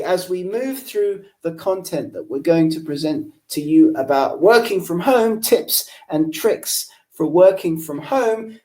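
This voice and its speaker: Man, English accent with a slight Irish lilt, mellow dark tone, friendly explanatory webinar presenter, home office, computer mic. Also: high voice. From England. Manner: friendly, explanatory, addresses the audience directly.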